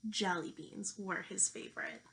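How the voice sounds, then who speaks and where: A woman teacher reading a children's picture book aloud, speaking deliberately to young listeners. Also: medium pitch, steady tone, gravelly, sharp tone. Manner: slow, deliberate delivery addressed to young children. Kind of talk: children's storybook read-aloud.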